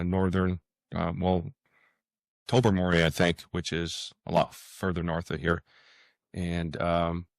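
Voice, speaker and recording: A sixty-year-old man with a Canadian accent, answering interview questions casually in a halting, thinking-aloud way. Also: low voice, dry recording, clean studio sound. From Toronto, Canada. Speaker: podcast guest, author.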